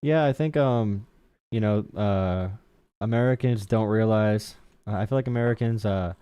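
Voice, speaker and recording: A young man hosting a casual political livestream with callers, slangy and quick to mock his chat. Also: lowish voice, dark tone, smooth, no room sound. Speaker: livestream host.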